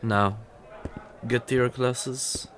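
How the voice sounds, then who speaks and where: A man playing a stern, weary older professor in an audio roleplay, speaking in a measured, authoritative, dryly disapproving way. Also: mid-range voice, steady tone, fairly bright tone, a little hiss or hum. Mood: reassuring, firm.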